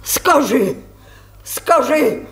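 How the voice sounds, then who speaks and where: An actress playing a desperate haggling mother in a wartime radio play, anguished and drawn-out. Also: high-pitched, animated, vocal fry, slightly rough, heavily acted. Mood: frantic. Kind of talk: 1970s Soviet radio drama acting.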